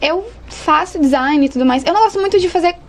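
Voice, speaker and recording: High-pitched young Brazilian woman, noisy background. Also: fast talker. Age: young adult. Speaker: advertising student, podcast guest. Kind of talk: casual conversational storytelling.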